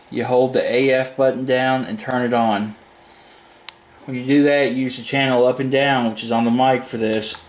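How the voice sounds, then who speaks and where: A man giving a casual, unscripted product demo in a plain-spoken, informal, rambling way. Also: mid-range voice. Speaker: hobbyist product reviewer. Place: indoors at a home desk. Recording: echoey room, faint background noise.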